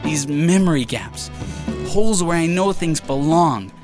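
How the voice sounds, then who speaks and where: An actor playing a young man haunted by memory gaps, talking to himself in a halting, self-questioning audio drama monologue. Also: a little high, lively intonation. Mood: brooding. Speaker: lead character's monologue. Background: music.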